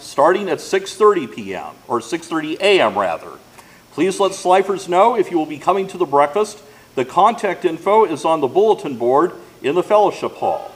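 A man with an American accent and a notably high voice. He speaks as a pastor, delivering practical announcements to a congregation. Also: poor audio. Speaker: pastor giving church announcements. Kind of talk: church announcements.

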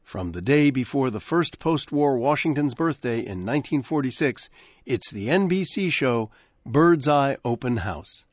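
A man gives a measured, informative introduction to a vintage broadcast. His voice is mid-pitched and recorded close and dry, with no room sound. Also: lively intonation, no room sound. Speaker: host of a classic radio program.

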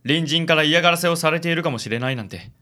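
A man playing a suave, theatrical gentleman with pompous, chivalrous, flowery speech in a comic radio drama. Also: on the high side, fast. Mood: dramatic concern. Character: suave, theatrical gallant man who fusses over ladies.

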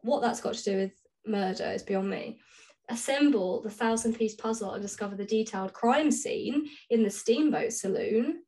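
Female co-host, higher-pitched, informal and playfully dry in conversation. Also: normal speed, mellow. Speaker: podcast co-host. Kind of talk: casual chat between friends.

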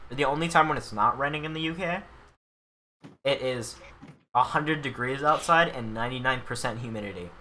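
A young man co-hosting a casual podcast, loud and crude, cracking jokes and talking over the others. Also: mid-range voice, brisk, a little hiss or hum. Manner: rowdy, crude, shouting bits. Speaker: podcast co-host. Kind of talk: loud joking banter.

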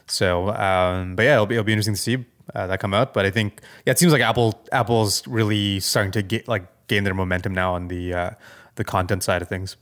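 Lowish-voiced man, thinking aloud. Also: fairly even intonation, fairly quick, a bit bright. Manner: tentative, thinking aloud, often ends with "right?". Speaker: podcast co-host. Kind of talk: casual conversational commentary.

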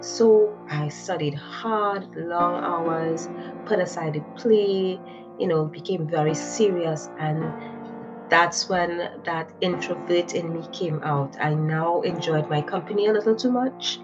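A woman's voice: fairly low pitch, slow, dull audio, music in the background. A woman from Trinidad and Tobago, a podcast guest, talking reflectively about her life with frequent "you know" fillers. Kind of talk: conversational interview answers.

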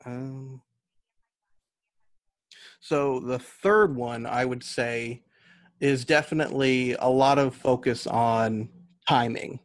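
A man's voice: mid-range voice, fairly even intonation, slow, pauses often, dry recording. An American man speaking as an interview guest, explaining his craft conversationally and thinking his points through aloud. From United States. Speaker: podcast guest, novelist and audio drama writer.

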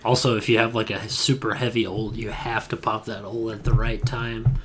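A man's voice: on the low side, level tone, normal speed. Informal man on a noisy recording. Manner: informal, conversational. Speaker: podcast co-host. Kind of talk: casual gaming chat.

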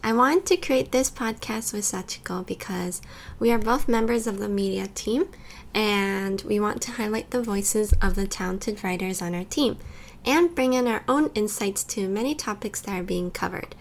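A woman's voice: medium pitch, normal speed, a little hiss or hum. A young woman who grew up in California and Taiwan, co-hosting a podcast and talking casually with frequent "like" fillers. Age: young adult. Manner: conversational, lots of "like" fillers. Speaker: podcast co-host.

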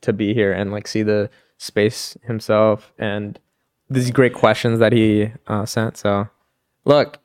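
A young man chatting as a podcast guest, relaxed and upbeat, laughing often and filling his talk with "dude" and "like". His voice is clear and resonant. The sound is dry and close. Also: lowish voice, takes it slowly, no echo. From Pakistan. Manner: laid-back, says "dude" and "like" a lot, laughs often. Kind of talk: casual chat.

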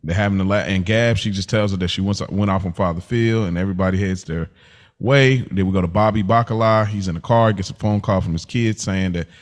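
A man with a low-pitched voice hosts a casual podcast. He retells TV scenes in loose, slangy language, like chatting with friends. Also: fairly quick. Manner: slangy, informal storytelling. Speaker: podcast host. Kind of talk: casual TV recap podcast chat.

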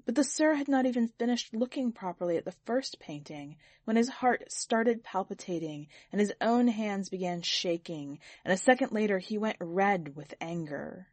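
A woman narrates fiction in an expressive, scripted reading style. Her pitch is average for a woman, and the recording is dry with very little room sound. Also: normal speed, hardly any pauses, dry recording. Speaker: podcast editor and story reader. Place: quiet, dry recording space.

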